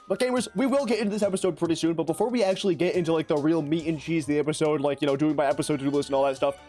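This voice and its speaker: A young man with a high, raspy voice, hoarse from shouting, rattles off excitable gaming commentary full of slang. Faint game music plays behind him. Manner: excitable, slangy, fast-talking, rambling. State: hoarse from lost voice. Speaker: gaming YouTuber. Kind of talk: energetic gameplay commentary.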